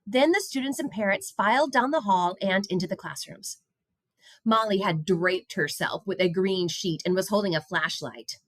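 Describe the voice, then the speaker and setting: A woman reading a children's picture book aloud in an expressive storytelling style. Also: medium pitch, normal speed, no room sound.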